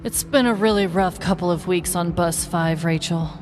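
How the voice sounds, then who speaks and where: A woman's voice: fairly low pitch, normal speed, lightly acted. A woman playing a weary, exasperated middle-aged school bus driver in a comic audio story, whining half-heartedly. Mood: weary complaining. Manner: half-hearted, whimpering, complaining. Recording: echoey, noisy recording.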